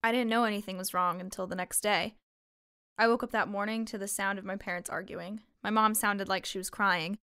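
Actress as young small-town waitress, weary and defensive, halting matter-of-fact talk, audio drama, close dry sound. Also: female voice, medium pitch, fairly even intonation, bright, forceful tone, clean tone, dry recording, lightly acted. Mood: subdued, explaining. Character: guarded young waitress grieving her missing sister, weary. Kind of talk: fiction podcast drama acting.

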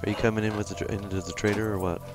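Lowish-voiced man chatting over a game. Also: level tone, rapid-fire. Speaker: host gamer. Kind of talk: casual co-op gameplay chat. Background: faint music.

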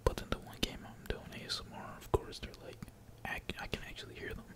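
Whispering man, casual gaming ASMR. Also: fast. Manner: slangy, swearing, casual. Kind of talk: whispered ASMR gameplay commentary. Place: indoors at home, at a computer. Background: keyboard typing.

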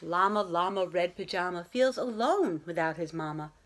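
A woman's voice: fairly low pitch, moderate pace, a little hiss or hum. Middle-aged woman reading to children. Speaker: read-aloud host. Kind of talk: picture-book read-aloud for children. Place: room at home, indoors.

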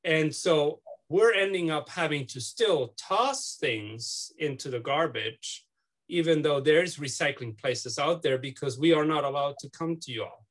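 Halting Swiss man on a video call. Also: a little high, a bit bright. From Switzerland. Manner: halting, many fillers and restarts. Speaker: student interviewer. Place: home office. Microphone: laptop webcam on video call.

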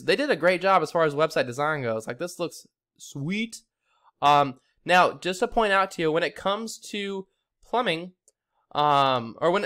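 Fast, clear, higher-pitched man explaining casually. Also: a bit bright. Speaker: SEO consultant giving a website review. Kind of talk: casual screen-share tutorial addressed to a client. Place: screen recording voice-over.

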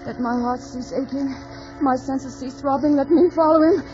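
Actress as anguished high-voiced young woman in love. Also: fairly even intonation, moderate pace, natural voice. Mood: anguished longing. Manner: melodramatic, pleading. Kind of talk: 1940s radio drama acting. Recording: dull old radio recording, music behind.

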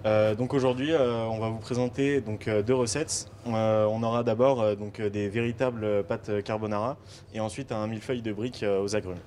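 A man's voice: lowish voice, steady tone, fairly quick, a little mellow, slight background noise. A young man from Lyon, a student hosting a live cooking show, speaking hesitantly with frequent filler sounds. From Lyon, France. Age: young adult. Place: teaching kitchen, indoors. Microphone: handheld mic.

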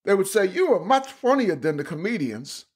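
High-pitched male podcast host. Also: big pitch swings, normal speed, breathy edge. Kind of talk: podcast interview, scripted introduction.

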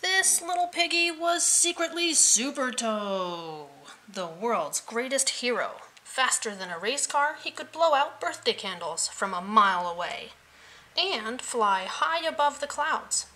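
A woman reading a children's picture book aloud in an expressive, playful way, adding vocal sound effects. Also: a little high, measured pace, poor audio.

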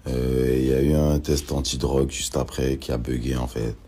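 Very low, monotone man talking into his phone at arm's length, faint room noise behind. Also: moderate pace. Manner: hesitant, reassuring, fillers. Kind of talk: casual video statement. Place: indoor room.

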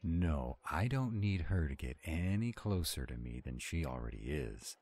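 A very low, swinging male voice for a roleplay audio acted by a man: a grumpy were-dragon cook who doubts himself. He grumbles defensively, with tenderness under the gruffness. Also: sing-song, moderate pace, a little mellow, dry recording, full character voice. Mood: grumpy, reluctant.

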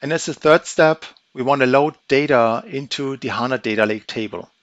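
A man giving a methodical, step-by-step walkthrough of a software demo, explaining each action as he goes. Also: mid-range voice, normal speed, dry recording. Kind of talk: screen-recorded software walkthrough. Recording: dry, slightly dull audio.

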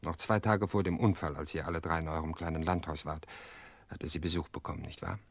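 An actor playing an earnest, weary middle-aged widower in a radio play, speaking in a measured, reflective way with hesitant pauses. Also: male voice, very deep voice, steady tone, gravelly, no echo, heavily acted. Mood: bitter, reproachful. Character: weary, earnest widower confessing to his sister-in-law. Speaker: actor in an audio drama.

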